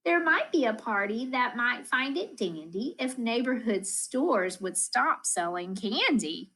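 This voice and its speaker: Female storybook reader, school-educator read-aloud. Higher register, unhurried pace, rhythmic and expressive delivery of children's verse. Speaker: school math coach reading aloud.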